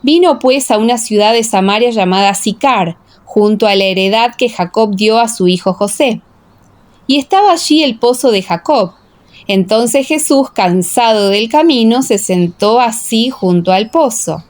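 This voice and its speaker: A woman narrating a scripture audiobook in a measured, solemn, scripted reading style. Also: on the high side, expressive.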